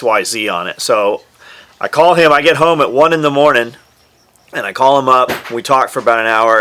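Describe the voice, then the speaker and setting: A man's voice: mid-range voice, sharp tone. A man who makes gear, telling a story casually and bluntly with plenty of swearing. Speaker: gear maker.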